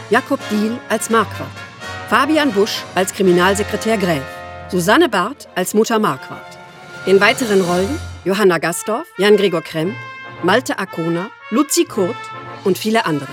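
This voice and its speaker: A woman reading scripted radio drama credits in a measured, formal manner. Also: mid-range voice.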